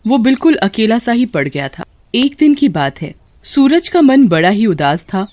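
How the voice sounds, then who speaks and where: Animated, dramatic children's story narrator. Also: medium pitch, dry recording.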